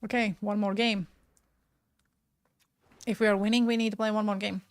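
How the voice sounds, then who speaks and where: A woman's voice: medium pitch, flat delivery, normal speed, no room sound. A young woman in her twenties from Latvia, speaking with a Latvian accent, chatting casually and frankly with her live-stream viewers, often trailing off mid-word.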